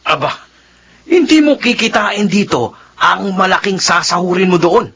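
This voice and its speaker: A man's voice: sits high, sing-song, unhurried, sharp tone, slight background noise, full character voice. A middle-aged man playing a careless, pushy husband in a radio drama, emphatic and insistent.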